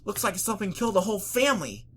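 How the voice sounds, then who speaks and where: Brash female pegasus played by a narrator, loud, raised pitch, excitable athlete, fan storybook. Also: very fast, slightly rough. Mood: alarmed.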